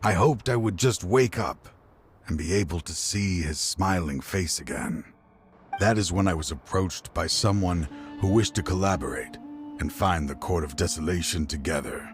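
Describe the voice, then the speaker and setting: An actor playing a proud, weary middle-aged father and former partner in a video game, with a theatrical, brooding, deliberate delivery. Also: deep voice, close to an everyday voice. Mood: sorrowful, reflective. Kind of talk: dramatic video game voice acting. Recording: light music behind the voice.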